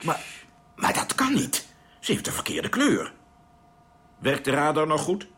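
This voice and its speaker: Spaceship captain, radio play, commanding, intense, clipped orders, very animated pitch, noisy rumbling background. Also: male voice, measured pace, exaggerated voice. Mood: puzzled. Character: commanding male spaceship captain, alert and serious. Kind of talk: Dutch radio play acting.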